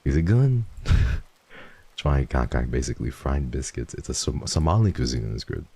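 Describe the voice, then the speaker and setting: Deep-voiced man, lively swearing streamer. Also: normal speed, creaky, breathy edge. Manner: swears freely, jumps between mumbling and excited high yelps. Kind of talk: casual chat with viewers.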